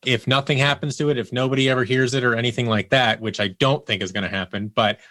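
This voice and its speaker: A man's voice: medium pitch, steady tone, quick pace, pushed and bright, dry recording. A man speaking as an interview guest, conversational, earnest and reflective as he explains things. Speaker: interview guest, audio drama producer.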